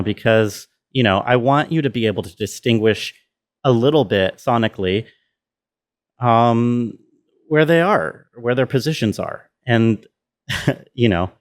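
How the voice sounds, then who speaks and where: A man giving a casual tutorial walkthrough as a sound designer, thinking aloud in a halting way. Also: fairly low pitch.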